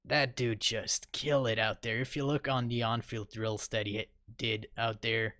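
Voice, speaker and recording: A man's voice: mid-range voice, little pitch movement, moderate pace, pushed and bright, no room sound, clean studio sound. A young man co-hosting a sports podcast, giving casual, rambling analysis with lots of fillers. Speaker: podcast co-host.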